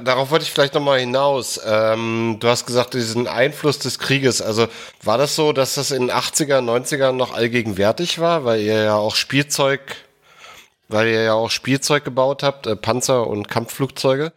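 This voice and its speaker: Medium-pitched man asking interview questions. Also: normal speed. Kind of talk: casual conversational interview.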